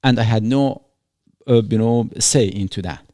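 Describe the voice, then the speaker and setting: A man from Iran talks through his ideas in a calm, reflective way, often filling with "you know". His voice sits at a middle pitch. The recording is dry and close, with little room sound. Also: moderate pace, no room sound. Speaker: podcast host. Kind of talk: casual conversational podcast.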